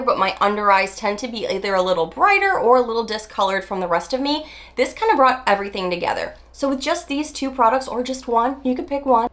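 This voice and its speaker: Beauty vlogger, woman in her 40s, a bit nasal from a cold, chatty and emphatic, highish voice. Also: a bit bright. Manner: chatty, emphatic, drawn-out stresses. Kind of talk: casual talk to camera.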